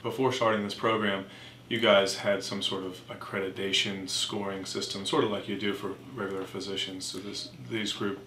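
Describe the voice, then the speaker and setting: A man's voice: lowish voice, little pitch movement, normal speed, bright, forceful tone, a little hiss or hum. A man playing an agreeable cancer patient asking questions in an amateur role play. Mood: agreeable, inquiring. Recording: roomy, distant microphone.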